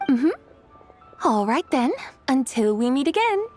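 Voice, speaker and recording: Graceful, formal ancient adeptus. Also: female voice, sits high, very animated, darkish tone. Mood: composed. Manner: formal, measured, poetic. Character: ancient female adeptus spirit, graceful, dignified, composed. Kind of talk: video game voice acting. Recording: music under voice.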